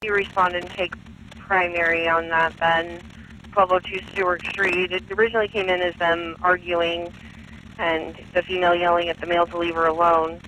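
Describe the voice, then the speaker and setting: A man dispatches police units over a noisy radio channel. His voice is high and sharp, with very little movement in pitch, and he relays details in a procedural, matter-of-fact manner. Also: level tone, normal speed. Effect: police radio transmission. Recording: police radio with clear background noise.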